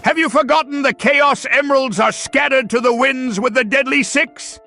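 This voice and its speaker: A man's voice: extremely high pitch, moderate pace, sharp tone, soft background music, exaggerated voice. A man voicing a pompous, sarcastic mad scientist villain in a theatrical, gloating cartoon style. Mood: scheming, sarcastic.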